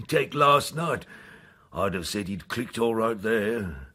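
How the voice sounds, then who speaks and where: Sly, husky-voiced sea captain. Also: male voice, fairly low pitch, animated, slow pace, sharp tone, heavily acted. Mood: gossipy. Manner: colloquial, teasing, insinuating. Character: earthy, sly, leering old sea captain. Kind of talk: radio drama acting.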